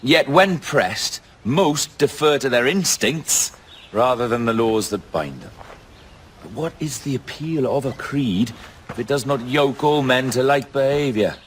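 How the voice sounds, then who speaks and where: A man playing a cunning, middle-aged pirate captain in a video game, with a Welsh accent and a measured, commanding, theatrical manner. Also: mid-range voice, expressive, slight background noise, close to an everyday voice. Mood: philosophical, sly. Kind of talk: dramatic game cutscene acting. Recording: loud music behind the voice.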